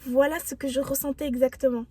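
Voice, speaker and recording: A young woman with a high, soft and mellow voice talks straight to her viewers. She gives self-help advice in an earnest, reflective way. Her tone stays encouraging throughout. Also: little pitch movement, quick pace, a little hiss or hum. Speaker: vlogger. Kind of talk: motivational self-help talk.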